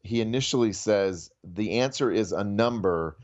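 Low-voiced man on a dull remote feed. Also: normal speed, darkish tone. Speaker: media critic, guest commentator. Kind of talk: conversational film commentary.

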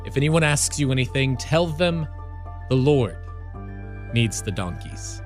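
An actor playing a calm, solemn, authoritative teacher in an audio drama. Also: male voice, medium pitch, measured pace, faint music behind. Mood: calm, commanding.

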